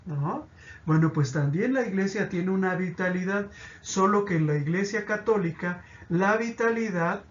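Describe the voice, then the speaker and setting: A man's voice: sits high, dull audio. A man teaching a pastoral catechism lesson, explaining with examples and often checking in with "¿sí?".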